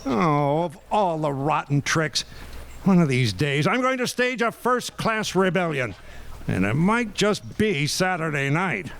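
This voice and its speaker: A man's voice: a little high, big pitch swings, measured pace, fairly bright tone, a little hiss or hum, heavily acted. A man playing a flustered, hapless young fiancé in a comic radio play, stammering and sputtering as he blunders along. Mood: grumbling.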